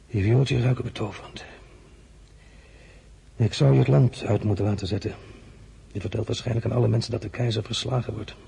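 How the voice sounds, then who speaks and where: Husky, low-voiced commanding weary emperor. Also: male voice, fairly even intonation, fairly quick, pauses often, mellow, exaggerated voice. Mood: imperious, stern. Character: commanding, weary emperor, nostalgic for an old love. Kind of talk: dramatic radio-play acting.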